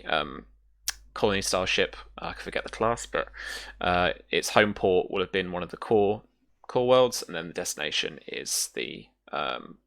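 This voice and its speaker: A low-pitched man with a bright, forward voice runs a tabletop roleplaying game. He explains rules and scenes, often pausing to work out his wording. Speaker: game master.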